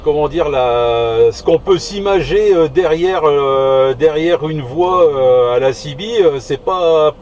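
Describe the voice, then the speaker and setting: A man's voice: fairly high pitch, slow, few pauses, a little mellow. A man in a casual two-way radio chat, talking hesitantly, drawing out words and repeating his phrases. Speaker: CB radio operator. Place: inside a car outdoors. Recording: muffled, band-limited, noisy car, distant mic.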